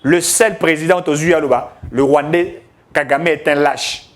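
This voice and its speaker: A man's voice: a little high, moderate pace. A man giving emphatic, declamatory political commentary, mixing French with Lingala.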